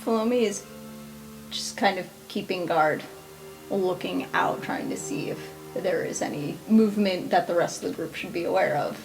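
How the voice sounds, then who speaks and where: A woman's voice: fairly low pitch, normal speed, gravelly, music in the background, poor audio. A woman playing a tabletop game, improvising roleplay as a player.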